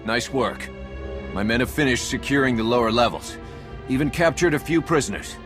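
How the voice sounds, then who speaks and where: A man's voice: mid-range voice, animated, normal speed, natural voice. An actor voicing a casual, wry male soldier in a game. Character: easygoing male Republic soldier. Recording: game audio with music.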